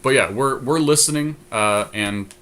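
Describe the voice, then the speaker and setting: A man's voice: medium pitch, measured pace, pushed and bright. A man co-hosting a podcast, casually thinking aloud through unscripted commentary on a game draft. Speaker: podcast co-host.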